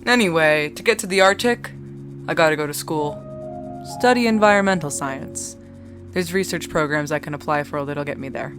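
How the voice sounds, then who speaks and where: A woman playing a low-voiced, sardonic bartender. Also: normal speed, over music, natural voice. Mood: wry, musing. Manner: wry, blunt, heavy casual swearing. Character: foul-mouthed, sardonic, world-weary young bartender. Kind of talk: cinematic audio drama acting.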